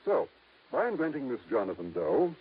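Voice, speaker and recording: An actor playing a measured, discreet lawyer with a formal, deliberate way of talking in a 1950s radio drama. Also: male voice, medium pitch, sing-song, breathy edge. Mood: explaining, measured. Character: measured, discreet small-town lawyer guarding a secret. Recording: tinny, narrow-band vintage radio recording.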